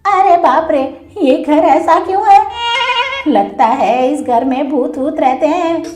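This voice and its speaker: A woman's voice: sits high, slow, darkish tone, voice pushed up. A woman voicing a cowardly, greedy rich man in a children's cartoon story, exclaiming, wailing and pleading in fear. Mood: panicked wailing. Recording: roomy echo with music behind.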